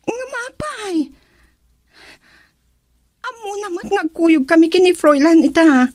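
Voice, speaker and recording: A young Filipino woman, devoted daughter and sweetheart, in a radio play. The voice is high, earnest and expressive, recorded close and dry. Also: slow pace, dry recording, heavily acted. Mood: worried, upset. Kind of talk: radio drama acting.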